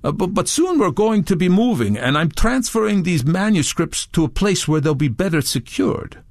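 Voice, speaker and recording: Audiobook character, done by a male narrator: nervous male assistant, pleading his case, higher-pitched, very lively, dry sound. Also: moderate pace, dry recording. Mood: flustered. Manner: stammering, protesting. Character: nervous but insistent male library assistant under accusation.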